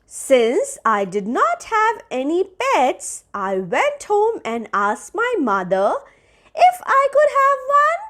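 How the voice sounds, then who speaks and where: Very high, slow, expressive woman storyteller. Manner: deliberate, expressive, teacherly phrasing. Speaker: storyteller reading aloud to young children. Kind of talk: children's read-aloud narration.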